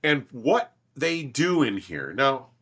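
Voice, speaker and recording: Guy hosting an audio review chat, bright punchy voice, pitch moves a lot, emphatic and opinionated. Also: very animated, slow. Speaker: host, audio equipment reviewer. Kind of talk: casual enthusiast conversation. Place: large, minimally treated listening room.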